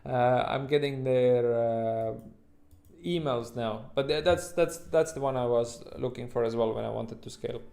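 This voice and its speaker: Halting Estonian-accented man, livestream host. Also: mid-range voice, fairly even intonation, gravelly, warm tone. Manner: halting, rambling, informal, occasional swearing. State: sleep-deprived. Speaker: livestream host, futures trader. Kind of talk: casual livestream chat with viewers.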